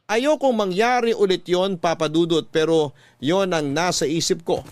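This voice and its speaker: A man's voice: fairly high pitch, no room sound. A man reading a scripted first-person story in a sentimental, radio drama storytelling style. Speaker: letter narrator in a radio-style love story podcast.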